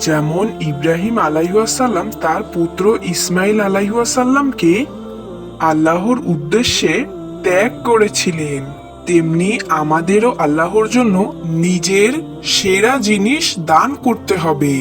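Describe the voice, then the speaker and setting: Man playing high-voiced pious older brother. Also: lively intonation, a little slow, over music. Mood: earnest, gentle. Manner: earnest, instructive. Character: kind pious older brother teaching a young boy. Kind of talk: scripted moral cartoon dialogue.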